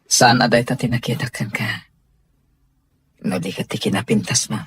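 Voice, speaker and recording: A man playing a sincere young gentleman employer in an Ilocano radio drama, with an Ilocano accent and a breathy voice. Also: medium pitch, fairly even intonation, moderate pace, no room sound. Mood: tender, earnest. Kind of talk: Filipino radio drama acting.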